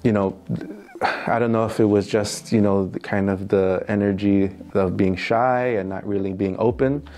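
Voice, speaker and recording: A man's voice: lowish voice, steady tone, moderate pace, a little mellow. A man from New York City with a New York accent, answering interview questions in a casual, hesitant way full of fillers and restarts. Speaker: interview guest, financial crime specialist.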